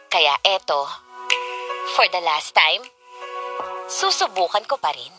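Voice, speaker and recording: A woman's voice: on the low side, big pitch swings, takes it slowly. A woman with a Filipino accent playing a frustrated, lovelorn girlfriend in a melodramatic, pleading comedy radio drama style. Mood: wistful confiding. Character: frustrated, lovelorn girlfriend desperate for her boyfriend's attention. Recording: music behind the voice.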